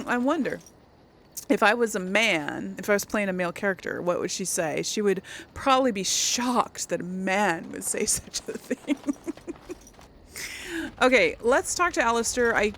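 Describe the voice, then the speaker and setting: A woman hosting a casual role-play gaming video, musing aloud haltingly and often clearing her throat. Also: mid-range voice, a little hiss or hum.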